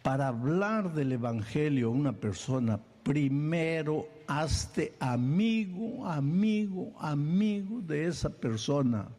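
A man preaching a sermon in a lively, animated way. His voice sits fairly high but sounds mellow. He acts out small scenes and keeps firing questions at the congregation. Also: slow pace, few pauses, faint music behind.

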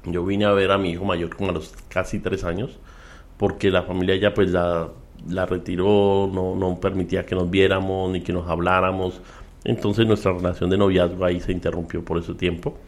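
A middle-aged man with a Colombian accent, an interview guest and minister, telling his story at a measured pace with frequent fillers. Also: low voice, flat delivery. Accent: Colombian Spanish. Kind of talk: relaxed testimony in conversation.

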